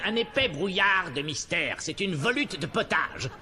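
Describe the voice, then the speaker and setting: An actor playing a pompous, scheming middle-aged villain wizard in a French-dubbed film, with florid theatrical delivery. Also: male voice, high-pitched, very animated, measured pace. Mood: grandiose. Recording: loud music behind the voice.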